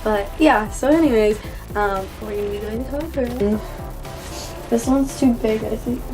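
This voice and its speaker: A woman's voice: fairly high pitch, a little slow, music in the background, poor audio. A young woman vlogging into her phone camera, chatty and giggly, full of ums and likes, her voice still recovering from a cold. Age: young adult. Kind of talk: casual vlog chat.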